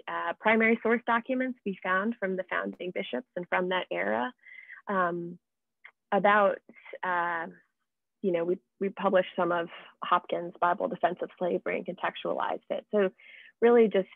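Young American woman, presenter on a video call, creaky voice, dull narrow audio, informal and explanatory. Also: fairly low pitch, no echo. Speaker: project presenter. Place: indoors at home, on video call. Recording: muffled, band-limited video call audio.

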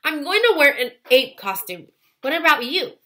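A woman's voice: sits high, big pitch swings, normal speed, sharp tone, pitched above the performer's own voice, full character voice. A woman voicing a friendly, eager young schoolboy in a children's storybook, earnest and enthusiastic.

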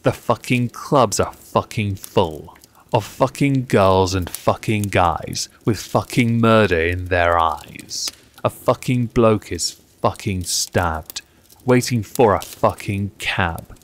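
A man reciting poetry in measured, deliberate short phrases, as the reader on his own channel. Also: on the low side, a little hiss or hum.

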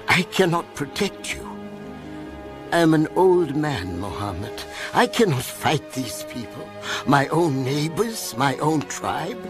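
A man playing a dignified, loyal old Arabian clan elder in an animated film, grave and measured. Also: fairly high pitch.

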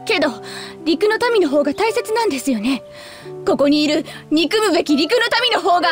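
A woman's voice: very high-pitched, expressive, fairly quick, soft background music. An actress playing a bitter, grieving young girl in a Japanese video game, speaking in cold, accusing, formally polite sentences. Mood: accusing, angry.

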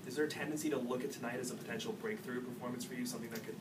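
A male reporter asks his questions quickly at a press conference. His voice is mid-pitched and sits far from the mic under loud room noise. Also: fairly even intonation. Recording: very noisy, distant from the mic.

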